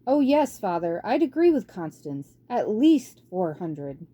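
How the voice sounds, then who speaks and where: A woman voicing an earnest, excitable young male mouse in a storybook, with an eager manner. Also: mid-range voice, a little slow. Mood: earnest, excited.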